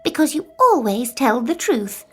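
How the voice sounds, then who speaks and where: A vain, wicked storybook queen, played by a woman narrator, haughty and commanding. Her delivery is highly animated and swoops upward, over a music backing. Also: measured pace, breathy edge, heavily acted. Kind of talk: children's storybook character voice.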